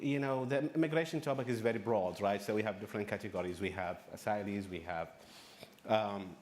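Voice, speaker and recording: A man from Iraq giving a panel presentation on refugee services, speaking haltingly with frequent restarts and fillers. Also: medium pitch.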